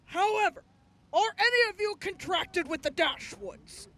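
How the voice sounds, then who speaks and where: An actress plays a woman militia officer with a very high, bright voice. She is composed and courteous, and speaks formally, as in an audio drama about war. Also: animated, full character voice. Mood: businesslike, courteous. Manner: formal, measured, polite.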